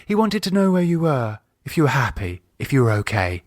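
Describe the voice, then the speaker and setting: A man's voice: medium pitch, big pitch swings, a little fast, dry recording, clean studio sound, voice pushed up, close to an everyday voice. A man voicing a composed, coolly ironic woman. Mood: matter-of-fact. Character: composed, teasing adult woman with a sharp edge. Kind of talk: audiobook character dialogue.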